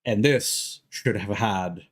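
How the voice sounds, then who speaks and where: A man's voice: fairly low pitch, slow pace, breathy edge. A man giving casual, rambling gameplay commentary as a streamer, hesitant and drifting into tangents. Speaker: video game streamer. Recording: music behind the voice.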